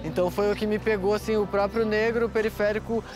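High-voiced young Brazilian man, music behind. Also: flat delivery, fast talker. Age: 20s. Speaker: interviewee. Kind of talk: casual interview testimony. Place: outdoors on a busy campus square.

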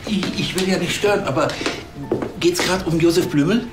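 An actor playing a meddling, stammering and self-important former police chief in a screen drama. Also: male voice, high-pitched, moderate pace, over music, natural voice. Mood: hesitant, prying.

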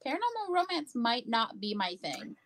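A young woman talking casually about a book on a video call, in a halting, informal way full of "like". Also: on the high side, very animated, a bit bright. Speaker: guest co-discussant. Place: small indoor room with bookshelves.

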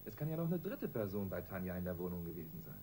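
A young detective in a German radio crime drama. His voice is mellow and lively in pitch, earnest and doubting yet persistent. Faint noise sits behind it. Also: quick pace. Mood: questioning, insistent. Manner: hesitant but persistent, earnest. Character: earnest, doubting young male detective, aged twenty-two.

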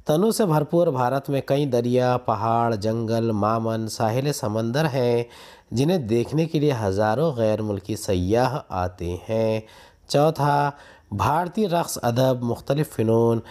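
An Indian man reads a school lesson aloud as a teacher. His medium-pitched voice is mellow and relaxed. He goes slowly and deliberately, setting each point apart with a pause. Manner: deliberate, instructional, pausing between numbered points. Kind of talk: reading textbook questions and answers aloud as a lesson.

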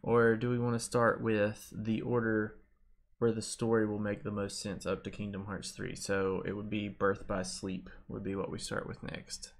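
A man's voice: fairly low pitch, flat delivery, moderate pace, creaky. A young man hosting a gaming video, talking casually to his viewers on a headset mic. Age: young adult. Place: indoors, home gaming setup. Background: game music.

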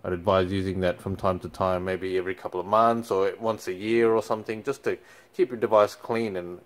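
A man's voice: sits low, a little fast. A young man, casually walking viewers through resetting a device, with plenty of ums along the way. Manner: relaxed, many fillers. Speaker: company product presenter. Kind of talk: casual how-to walkthrough. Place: indoors in front of a TV screen.

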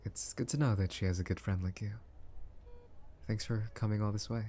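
A man acts out a young brother in a hospital audio roleplay. His voice is low, and he talks with gentle, halting politeness, kind but reserved. Faint ambience sits behind him. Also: steady tone, a little hiss or hum, lightly acted. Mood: polite, guarded. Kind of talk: ASMR roleplay acting, conversational.

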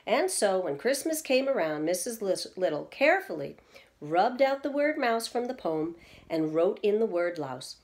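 A woman's voice: mid-range voice, big pitch swings, moderate pace, a little mellow. Middle-aged woman reading a children's story aloud. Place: indoors at home, seated in an armchair in a small room.

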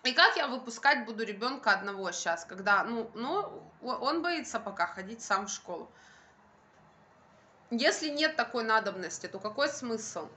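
A woman's voice: a little high, expressive, normal speed, pushed and bright. A young adult woman chatting casually to camera as a vlogger, speaking in an emphatic, colloquial, venting way. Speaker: vlogger, stay-at-home mother. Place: bright room at home by a window, indoors. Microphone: phone at arm's length.

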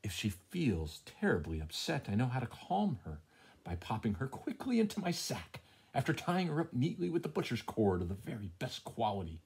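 A man voicing a sly, hungry cat in a storybook, smooth-talking and falsely neighborly, with a husky voice. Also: medium pitch, sing-song, moderate pace, a little mellow, pitched above the performer's own voice, exaggerated voice. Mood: scheming, hungry. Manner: smooth-talking, solicitous, scheming.

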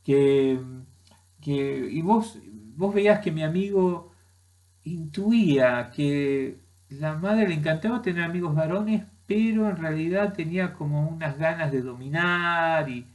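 Halting Argentine man ranting to webcam. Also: fairly high pitch, a little mellow. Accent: Argentine Spanish. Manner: halting, many restarts and fillers, argumentative. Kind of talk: opinion monologue to camera. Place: small room indoors at home.

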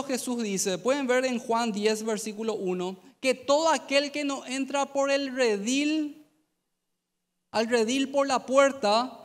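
A man in his thirties with a Paraguayan Spanish accent, preaching a youth sermon on stage into a handheld mic, telling the story in a conversational way and acting out the dialogue. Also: very high-pitched. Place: large stage in an auditorium. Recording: long hall reverb.

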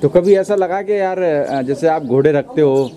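A man's voice: fairly high pitch, normal speed, crowd noise. A man asking questions as an interviewer in a casual, conversational way. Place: outdoors in a farm yard.

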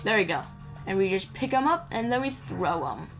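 A woman chats casually as she plays a video game, commenting off the cuff in a playful manner. Her voice sits at a middling pitch, with game music running behind it. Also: sing-song, normal speed. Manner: chatty, playful, mumbles asides to the game character. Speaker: gamer hosting a playthrough. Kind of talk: casual improvised gameplay commentary. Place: indoor voice-over recorded over game audio.

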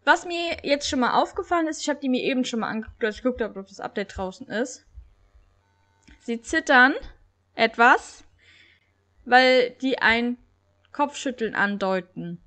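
A young woman with a cold, hosting a game video in casual, excited commentary that stumbles now and then while reading aloud. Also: on the high side, moderate pace, pauses often. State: has a cold. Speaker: gaming channel host.

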